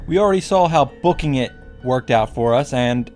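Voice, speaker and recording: A man's voice: mid-range voice, animated, normal speed, a little mellow, soft background music. A man giving a sarcastic, profane recap and commentary on a visual novel, as the host of a video. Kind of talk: game recap with commentary, reading lines aloud.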